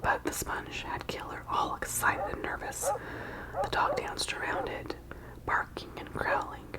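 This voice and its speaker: A woman narrating a children's story in a breathy ASMR whisper, expressively acting out the dialogue. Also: a little slow. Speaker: ASMR host reading aloud.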